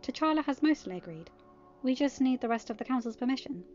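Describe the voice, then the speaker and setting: A woman's voice: sits high, expressive, a little fast, a little mellow, voice pushed up. A woman reader plays a caring, composed woman in an audio story. She sounds fond and kindly as she chats. Music sits behind a slightly dull recording. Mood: explaining.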